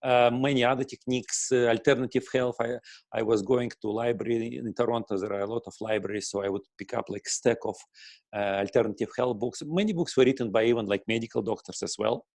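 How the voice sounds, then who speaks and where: Middle-aged Russian-accented man, interview guest, mid-pitched, dry close sound, halting delivery with frequent throat clearing. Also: steady tone, darkish tone, no room sound. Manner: halting, many fillers and restarts, clears throat often. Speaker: guest, breathing teacher. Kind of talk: casual interview answer.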